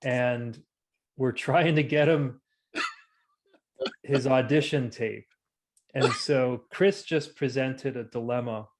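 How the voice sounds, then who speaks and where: A man with a mid-range voice co-hosts a casual podcast. He explains the segment in a halting way, restarting his sentences. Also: medium pitch, a little hiss or hum. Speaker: podcast co-host.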